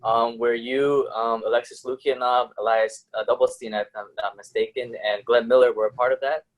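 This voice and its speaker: A man's voice: mid-range voice, little pitch movement, normal speed, low-quality recording. A man hosting an interview, asking questions in a friendly way and answering with brief affirmations. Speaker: interviewer, host.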